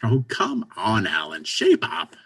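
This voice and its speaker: A man's voice: on the low side, huge pitch swings, slow, creaky, fairly bright tone, breathy edge, exaggerated voice. A man playing a pragmatic, impatient but encouraging businessman friend in a stage comedy reading, with emphatic delivery. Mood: coaxing, upbeat. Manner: pushy, exasperated, emphatic. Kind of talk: stage comedy readers theater.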